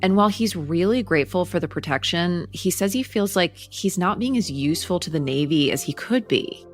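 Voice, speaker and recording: A woman reporter giving a news story in a measured, deliberate way. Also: fairly low pitch, animated, soft background music.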